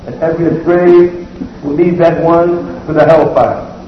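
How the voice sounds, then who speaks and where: Male imam, sermon delivery, steady and repetitive, recorded from a big reverberant civic hall with a dull sound. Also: fairly high pitch. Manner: measured preaching with repeated phrases. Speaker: imam giving the Eid sermon. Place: large civic center hall. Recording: echoey hall, muffled, faint hiss.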